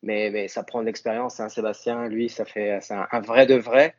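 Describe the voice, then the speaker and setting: A man's voice: lowish voice, steady tone, normal speed, dull audio, background noise. A man with a northern French accent, a guest on a casual podcast chat, talking hesitantly with fillers and restarts. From northern France. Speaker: podcast guest, team fan.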